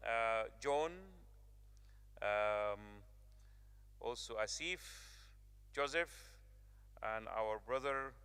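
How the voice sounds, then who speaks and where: A man's voice: lowish voice, bright, forceful tone, smooth, in a large hall. A man hosting an advocacy event, speaking earnestly with many fillers and repetitions. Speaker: event host. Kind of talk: emcee speech at an advocacy event.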